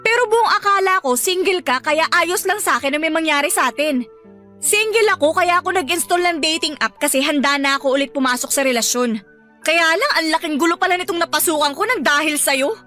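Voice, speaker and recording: A woman's voice: sits high, a little fast, bright, forceful tone, soft background music. An actress playing a hurt, indignant young woman with a Filipino accent, sarcastic and accusing in an emotional radio love drama.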